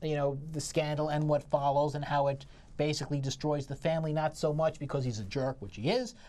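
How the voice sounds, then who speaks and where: A woman co-hosting a casual theatre review, talking in a halting way and circling back to her points. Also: deep voice, fairly even intonation, warm tone. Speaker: theatre critic, co-host.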